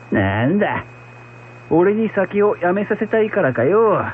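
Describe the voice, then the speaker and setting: Man playing animated, folksy packhorse driver. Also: sits high, slow pace, heavily acted. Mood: amiable, pondering. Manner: rough, folksy, colloquial. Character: good-natured, hard-drinking packhorse driver, rustic man. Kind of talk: radio drama dialogue. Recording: muffled radio recording with hum, faint noise.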